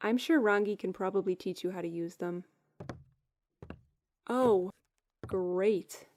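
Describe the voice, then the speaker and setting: A woman's voice: mid-range voice, takes it slowly, a little mellow, slight background noise, natural voice. A woman acting a practical, loyal, dry-witted young servant in a fan-made visual novel. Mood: dry, exasperated.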